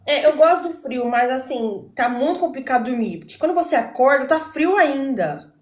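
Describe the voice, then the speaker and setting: A woman from São Paulo hosting a live chat over a video call, affectionate and quick to laugh. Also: sits high, expressive, reverberant. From São Paulo, Brazil. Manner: warm, giggly, affectionate. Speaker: host.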